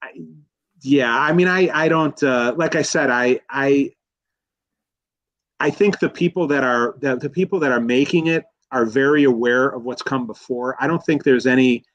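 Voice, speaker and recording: A man in a casual film discussion as a guest expert, speaking in a halting, rambling way and often correcting himself. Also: mid-range voice, fairly bright tone, no room sound, dull audio. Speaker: guest expert, college professor.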